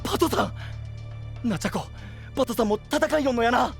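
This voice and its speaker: An actor playing an earnest, sweet-hearted boyish knight with a western Japanese dialect, eager and pleading, in an anime voice drama. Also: male voice, very high-pitched, lively intonation, fairly quick, vocal fry, soft background music, full character voice. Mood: rallying. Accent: western Japanese regional dialect.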